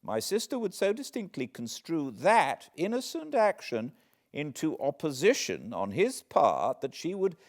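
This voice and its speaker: A British man reading a classic novel aloud as an audiobook narrator, with expressive, deliberate phrasing. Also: a little high. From Britain. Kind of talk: dramatic literary storytelling, read aloud.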